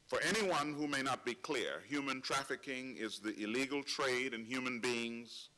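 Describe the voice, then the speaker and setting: A middle-aged man from Trinidad, seated at a panel table, delivering a prepared speech in measured, solemn tones with slow emphatic pauses into a table microphone. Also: medium pitch, bright, forceful tone, low-quality recording. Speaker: conference speaker. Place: large conference hall, seated at a panel table.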